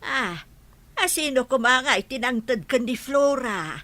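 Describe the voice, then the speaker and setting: A woman's voice: sits high, expressive, unhurried, fairly bright tone, dry recording. An actress in a radio drama playing a puzzled, fussy elderly grandmother.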